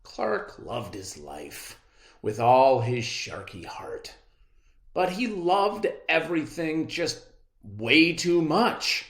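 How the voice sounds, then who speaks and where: A read-aloud storyteller narrating a children's book with dramatic, expressive emphasis. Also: mid-range voice, slow, reverberant.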